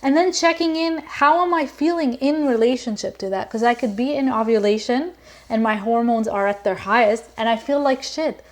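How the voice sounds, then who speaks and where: A woman's voice: a little high, normal speed. A woman from Lebanon talking as a podcast guest in an earnest, reflective way, with many fillers and restarts. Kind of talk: casual conversation.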